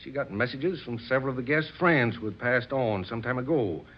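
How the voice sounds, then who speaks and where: An actor playing an excitable, courteous gentleman who is devoted to séances, in a theatrical old radio drama style with effusive, old-fashioned clubman's speech. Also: mid-range voice, brisk, close to an everyday voice. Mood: enthusiastic. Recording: dull old radio recording.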